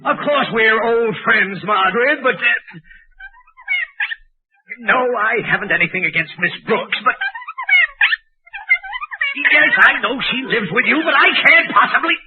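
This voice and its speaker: A man's voice: very high voice, lively intonation, unhurried, pauses often, music in the background. An actor playing a stern, pompous, blustering male school board president in a 1940s radio sitcom. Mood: blustering, exasperated. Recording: muffled, noisy old 1940s radio recording.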